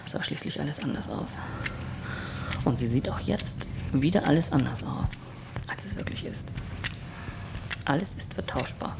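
A man playing a brooding, deranged loner in an experimental radio-play monologue, speaking deliberately in halting fragments, often hushed and breathy, in a creaky voice. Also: a little high. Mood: uneasy, brooding. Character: brooding, morbidly deranged male loner narrating from his tower. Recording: dull, noisy lo-fi radio-play recording.

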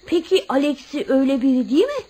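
An actress in a radio play voicing an innocent young child, earnest, inquisitive and sympathetic. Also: female voice, high voice, big pitch swings, fast talker, warm tone. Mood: curious. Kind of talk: radio theatre acting.

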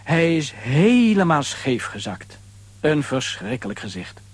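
A man's voice: on the high side, big pitch swings, unhurried, slightly rough, no room sound, full character voice. A man narrating a children's radio play as a scripted storyteller.

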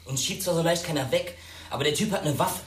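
An actor playing a brash, mocking teenage school bully in a radio drama, talking in slangy taunts. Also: male voice, on the high side, fast, husky. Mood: cocky. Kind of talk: modern radio drama acting.

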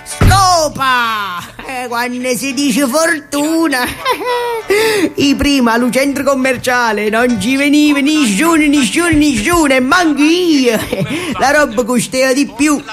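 A man's voice: very high-pitched, expressive, slow pace, a bit bright, faint music behind, pitched above the performer's own voice, heavily acted. A man voicing a feisty, half-deaf grandpa in his eighties from a radio play, repetitive and stammering, with an Abruzzo accent. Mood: gleeful, chatty. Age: 80s, as played. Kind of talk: radio theatre character acting.

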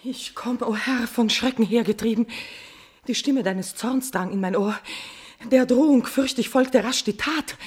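A woman's voice: on the high side, measured pace, darkish tone, slightly rough. An actress playing a passionate queen tormented by guilty love, declaiming dramatically in a classical verse radio tragedy. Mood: pleading, fearful.

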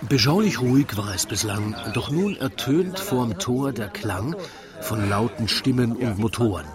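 A man with an Austrian accent narrates a scripted radio play in rhyming verse, in a wry, deliberate, theatrical way. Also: mid-range voice.

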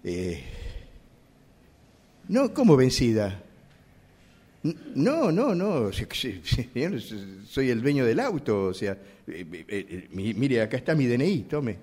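An actor playing a flustered, evasive driver in a radio theatre exercise, stammering and repeating himself. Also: male voice, medium pitch, very animated, takes it slowly, darkish tone, husky, full character voice. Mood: defensive. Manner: stammering, repetitive, hesitant. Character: flustered, evasive male driver pleading with police. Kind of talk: improvised radio theatre monologue.

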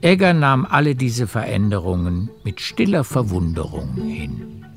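A man narrating a literary radio play in a measured storytelling manner. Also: on the low side, big pitch swings. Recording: music behind the voice. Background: music.

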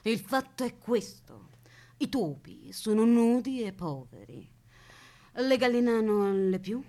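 An actress plays an eccentric lady captor in an absurdist radio play. Her voice is high and very animated, sweeping up and down. She is prim and imperious, with childlike, earnest logic. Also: slow, full character voice. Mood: prim, lecturing. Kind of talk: absurdist radio theatre acting.

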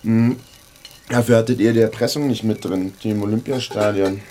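A man's voice: fairly low pitch, steady tone, a little fast, dark tone, slight background noise, lightly acted. An actor playing an evasive young boyfriend in a radio drama, hesitant and needling as he hides a secret. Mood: probing, evasive. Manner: hesitant, flippant. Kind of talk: naturalistic radio drama dialogue.

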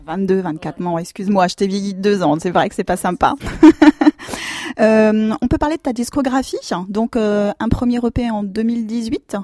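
Mellow-voiced woman interviewer, stumbling. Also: medium pitch, moderate pace, no echo. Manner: informal, stumbling, self-correcting. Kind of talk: casual interview.

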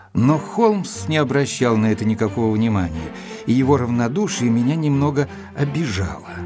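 An actor playing an earnest, admiring gentleman companion, narrating in a measured, literary audio drama style. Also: medium pitch, lightly acted. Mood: proud, reflective.

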